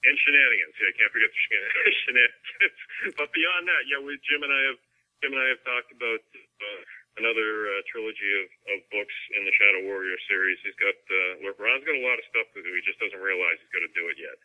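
Low-voiced man on a dull phone-like line. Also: fairly quick. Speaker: novelist being interviewed. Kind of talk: casual interview talk.

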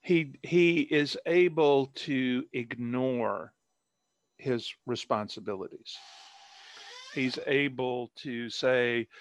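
A man's voice: mid-range voice, dry recording. A man, an author, chatting casually in an interview over a video call, rambling with many fillers and restarts.

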